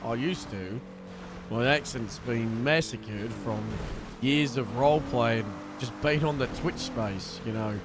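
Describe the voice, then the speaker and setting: Chatty young streamer from North Wales. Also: male voice, mid-range voice, a little slow, music in the background. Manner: chatty, rambling, self-correcting asides. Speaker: roleplay streamer. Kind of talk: casual chat while streaming a game.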